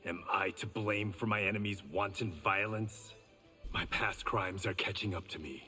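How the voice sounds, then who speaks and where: A man's voice: fairly low pitch, steady tone, normal speed, sharp tone, breathy edge. An actor playing a stoic, wry swordsman in a fighting video game. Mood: guarded. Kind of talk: video game dialogue, battle banter. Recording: hall reverb, music behind.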